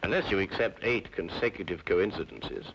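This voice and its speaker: An actor playing a brilliant, coolly logical Victorian detective with a precise British delivery in an old-time radio drama. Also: male voice, low-pitched, moderate pace. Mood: analytical. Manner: precise, assured, drily deductive. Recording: dull, noisy old radio drama recording.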